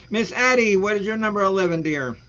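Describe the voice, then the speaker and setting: A man hosting a casual music quiz show, teasing the players with quick playful asides. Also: high-pitched, animated, a bit bright, dull audio. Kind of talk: casual live-stream banter.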